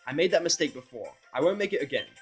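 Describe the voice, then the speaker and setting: A man's voice: on the high side, sing-song, fast talker, soft background music, voice pushed up. A man playing a sullen, bitter young man who grumbles and snaps in a fan audio drama. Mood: angry. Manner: snarling, grumpy. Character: sullen, sweary, bitter young human male outsider.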